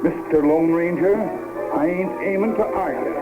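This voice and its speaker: An actor playing a suspicious ranch gunhand in a 1950s radio western, blustering in folksy cowboy slang. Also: male voice, a little high, moderate pace, music in the background, close to an everyday voice. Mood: rattled, grudging. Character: suspicious, threatening cowboy gunhand guarding a range. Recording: tinny noisy old radio tape rip.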